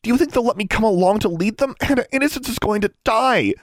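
Guy doing a determined young girl for an audiobook, raised pitch, earnest and pushing hard. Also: animated, fast, no room sound, studio quality. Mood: urgent, agitated. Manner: earnest, insistent. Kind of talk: audiobook character voice.

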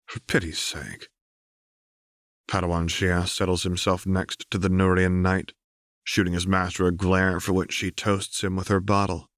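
A man's voice: low voice, monotone, moderate pace, a bit bright, dry recording, studio quality. A man reading a story aloud as scripted narration, like an audiobook reader.

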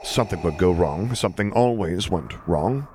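Low-voiced man narrating an audiobook. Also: animated, normal speed, darkish tone, breathy edge. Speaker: audiobook reader. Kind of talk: scripted dramatic story narration.

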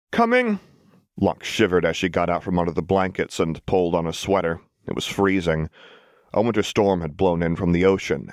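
A man's voice: deep voice, dry recording, clean studio sound. A man reading scripted fiction as an audiobook narrator.

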